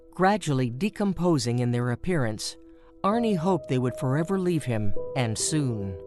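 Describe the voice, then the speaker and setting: A woman is the ominous narrator of a horror radio play. She tells the story in a measured, low-for-a-woman voice. Faint music runs behind. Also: darkish tone. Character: ominous mystery theatre storyteller.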